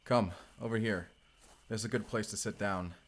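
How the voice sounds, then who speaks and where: Male actor as mysterious vampire slayer, low voice, earnest and protective, intimate audio drama delivery. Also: steady tone, moderate pace, lightly acted. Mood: weary, watchful. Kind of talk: cinematic audio roleplay acting.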